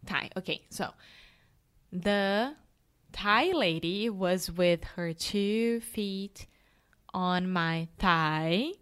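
Slow-talking woman. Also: lowish voice, fairly bright tone, smooth. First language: Portuguese. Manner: laughs often, careful non-native phrasing. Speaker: podcast co-host. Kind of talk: casual chat.

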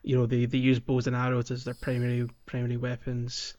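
A man's voice: mid-range voice, completely flat delivery, brisk, a little mellow. A man co-hosting a gaming podcast, chatting casually and thinking aloud with frequent ums. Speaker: podcast co-host.

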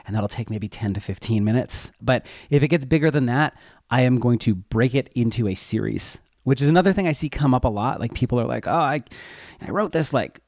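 A man's voice: medium pitch, dry recording, clean studio sound. A man talking casually and informally as an interview guest, explaining how he works in a conversational way. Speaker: interview guest, web developer and educator.

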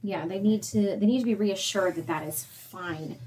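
A young woman co-presenting, talking parents through advice in a steady, explanatory way and addressing them directly. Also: mid-range voice, normal speed, a little hiss or hum, rough recording. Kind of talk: semi-scripted advice talk.

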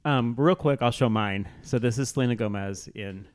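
A man's voice: medium pitch, normal speed. A man hosting a podcast in a casual, comedic conversational style. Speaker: podcast host.

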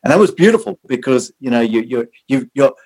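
This is a middle-aged man with an Australian accent, answering a podcast host's questions. His pitch sits in the middle. He tells his stories at an easy pace, stumbling over repeated words as he goes. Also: lively intonation, a little fast, slightly rough. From born in South Africa, raised in Australia. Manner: repeats and restarts words, warm storytelling. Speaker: Salvation Army officer, podcast guest. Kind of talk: conversational interview answers.

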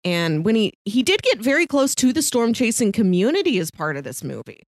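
A woman's voice: on the high side, sing-song, fairly quick, no echo, clean studio sound. A woman chatting casually about movies as a podcast co-host, explaining things in a lightly amused way.

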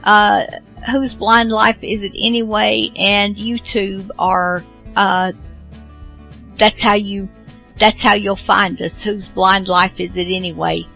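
A woman hosting a radio show in a casual, unscripted way, halting and rambling as she goes. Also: mid-range voice, little pitch movement, gravelly, bright, forceful tone, soft background music. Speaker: host of an old-time radio show.

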